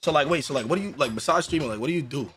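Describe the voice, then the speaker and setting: A man's voice: fairly high pitch, racing, a crowd in the background. A man in his twenties chatting casually on a stream, laid-back and slangy, from a bedroom setup on a headset. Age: 20s. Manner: laid-back slangy talk, lots of "you feel me". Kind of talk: casual flirty chat.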